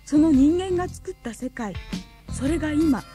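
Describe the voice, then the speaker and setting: An actress playing a gentle, earnest young woman, a dreamy revolutionary, in a radio drama with a hesitant, sincere manner. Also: high voice, animated, moderate pace, faint music behind. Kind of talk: Japanese radio drama acting.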